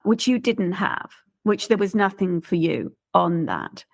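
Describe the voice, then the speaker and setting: A woman's voice: on the low side, big pitch swings, unhurried, gravelly, a little mellow. A woman asking probing questions about childhood in a podcast discussion. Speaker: interviewer.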